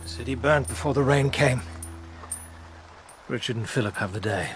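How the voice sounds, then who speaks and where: A man's voice: mid-range voice, moderate pace, a little hiss or hum, close to an everyday voice. An actor playing a stern, loyal knight in the king's service, blunt and grave, in a historical radio drama. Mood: grave, urging.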